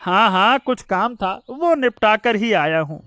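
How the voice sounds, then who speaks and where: A man's voice: high voice, expressive, unhurried, sharp tone, full character voice. A man voicing a greedy, heartless, domineering elder brother in a cartoon story, with a scolding, dismissive manner. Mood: irritated. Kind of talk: Hindi moral-story cartoon dubbing.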